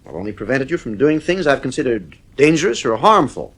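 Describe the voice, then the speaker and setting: A man's voice: mid-range voice, very animated, a little fast, fairly bright tone. An actor in a radio play playing a strict, worn-down father, stern, irritable and emphatic. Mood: firm, strained.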